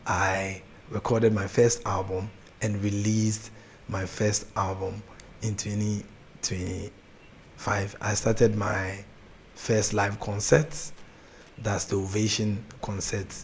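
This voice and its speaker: A young Ghanaian man with a Ghanaian accent, a gospel artist chatting as an interview guest, soft-spoken, laughing easily and often restarting his sentences. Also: fairly low pitch, level tone, takes it slowly, slight background noise. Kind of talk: casual interview chat.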